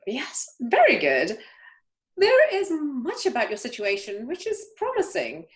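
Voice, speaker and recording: Casting: a woman as a grand, judgmental older aunt for a play reading; high voice, extremely wide swings, haughty, occasional stumbles. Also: wildly swooping pitch, heavily acted. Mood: condescending, appraising. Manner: haughty, emphatic, halting delivery with stumbles. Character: imperious, snobbish older upper-class aunt, grandly judging everyone. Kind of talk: amateur play read-through.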